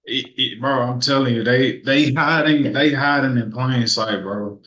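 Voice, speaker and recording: A man speaking in a casual podcast discussion, relaxed and reflective as he argues his point of view. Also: medium pitch, a little slow, roomy sound, lo-fi sound. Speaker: podcast co-host.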